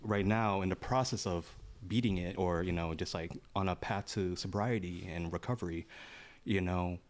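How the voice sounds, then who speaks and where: A young man co-hosting a podcast, chiming in with quick agreements and jokes in casual chat. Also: low-pitched. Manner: chiming in with quick affirmations, joking. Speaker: podcast co-host.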